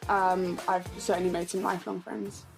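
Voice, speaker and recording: A woman in her twenties, a graduate standing for election, answering questions in a warm, measured way. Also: on the low side, little pitch movement, creaky, faint music behind, rough recording. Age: 20s. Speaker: candidate. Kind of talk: casual interview answers.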